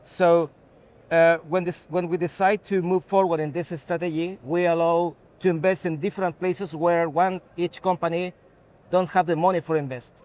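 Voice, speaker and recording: A man from Chile with a Chilean Spanish accent, giving unscripted interview answers as a technology executive in halting, non-native English. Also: a little high, fairly even intonation, a little mellow.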